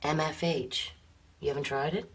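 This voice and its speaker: A woman's voice: low voice, steady tone, moderate pace, husky. An actress playing a jaded, drug-dependent woman resigned to her life, languid and intimate, in a radio drama.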